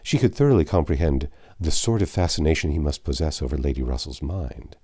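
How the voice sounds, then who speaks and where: A man's voice: very deep voice, animated, a little fast, dark tone, husky. A man reading a classic novel aloud as an audiobook narrator, in a scripted storytelling style.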